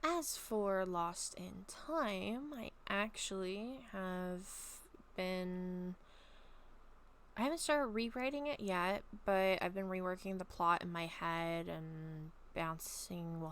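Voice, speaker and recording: Casual home vlogger, a woman with a lowish, slightly raspy voice, chatty and meandering, with a faint background hiss. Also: slow pace. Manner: rambling, many ums, soft trailing asides. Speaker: vlogger reading her own story. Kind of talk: casual chat and story reading. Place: at home, bedroom.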